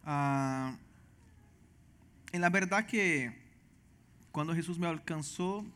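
A man from Venezuela with a Brazilian Portuguese accent, earnestly telling his testimony as a missionary guest, halting and restarting often. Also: a little high, pushed and bright. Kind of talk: personal testimony in church interview.

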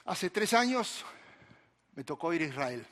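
A man's voice: on the high side, measured pace, long reverb tail. A man with an Argentine accent, a pastor delivering a sermon and talking straight to his congregation while he tells a personal story. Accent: Argentine Spanish. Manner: animated, storytelling preacher who addresses the congregation directly.

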